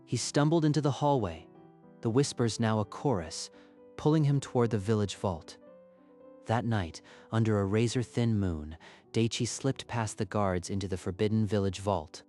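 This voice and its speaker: A man's voice: lowish voice, moderate pace, warm tone, soft background music. A man narrating a scripted story in a dramatic style.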